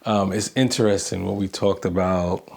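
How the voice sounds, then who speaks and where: A man in his thirties co-hosting a marriage podcast in casual talk, halting and restarting as he searches for words. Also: sits low, steady tone, creaky, a little mellow. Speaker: podcast co-host, relationship coach. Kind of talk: casual conversational podcast chat.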